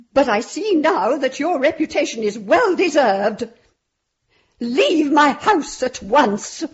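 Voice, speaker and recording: High-voiced, stern mistress of house. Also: female voice, dull audio. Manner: slow, imperious, proper. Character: genteel, stern mistress of the house, fearful yet indignant. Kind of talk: period radio drama acting.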